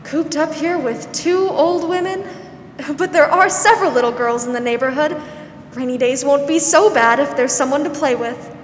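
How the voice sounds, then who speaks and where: Young woman playing high-voiced gentle older aunt. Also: normal speed, close to an everyday voice. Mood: kindly, patient. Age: young adult. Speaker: student actor. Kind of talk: 1940s radio drama acting. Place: school theatre stage. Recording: echoey hall, noisy background.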